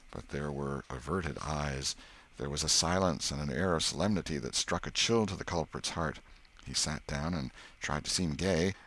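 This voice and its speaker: A man's voice: rumbling low, fairly bright tone, husky. A man with an American accent narrating a classic novel as an audiobook storyteller.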